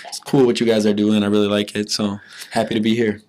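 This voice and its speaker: Easygoing young man with a low, flat voice. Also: fast. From Milwaukee, USA. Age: 20s. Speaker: podcast guest. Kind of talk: casual interview chat.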